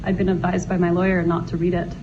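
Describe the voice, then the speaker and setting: A woman testifying as a witness in court, giving terse, measured and careful answers. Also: on the low side, completely flat delivery. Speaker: witness on the stand. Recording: noisy, muffled courtroom recording.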